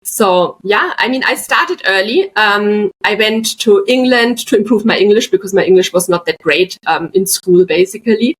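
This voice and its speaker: A woman's voice: medium pitch, lively intonation, normal speed, few pauses, husky, rough recording. Middle-aged woman, podcast guest, chatty anecdote teller. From Germany, Bavaria. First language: German. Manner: chatty, tells anecdotes with frequent asides. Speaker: guest, artist. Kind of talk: casual podcast chat, storytelling.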